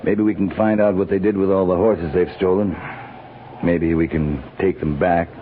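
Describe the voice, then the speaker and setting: An actor playing a grim, steady, laconic cavalry captain in a 1950s radio western. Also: male voice, sits low, normal speed, close to an everyday voice. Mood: grim, terse. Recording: tinny, noisy old radio recording.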